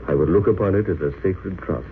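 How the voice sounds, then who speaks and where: An actor playing a grave, compassionate chaplain in an old radio drama, speaking in a measured, formal way. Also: male voice, sits low, lightly acted. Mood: gentle, earnest. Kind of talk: 1930s-40s radio drama acting. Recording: tinny, noisy vintage radio recording.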